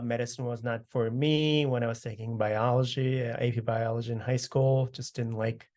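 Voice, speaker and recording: A man in his thirties from South Korea, answering oral history questions over a video call, relaxed and full of "uh" and "you know". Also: medium pitch. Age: 30s. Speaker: interviewee.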